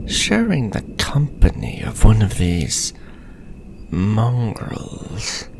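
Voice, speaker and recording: A man playing a condescending, possessive aristocratic vampire lord in a fantasy audio roleplay, speaking in pompous, drawn-out formal phrases. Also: lowish voice, very animated, creaky, background noise, exaggerated voice. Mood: mocking, possessive.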